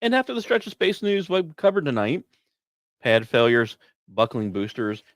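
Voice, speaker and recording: A man presents science news as a podcast host, reading from a script but slipping into casual, wry asides. His mid-pitched voice swings widely with theatrical emphasis. The sound is dry, with very little room in it. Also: sing-song, moderate pace, no echo. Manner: wry, self-deprecating humor.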